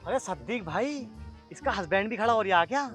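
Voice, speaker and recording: A man plays a scornful village party worker in a comedy skit. The voice is high, the delivery blunt and mocking. Music is clearly audible behind it. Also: expressive, fairly quick, fairly bright tone. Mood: pleading.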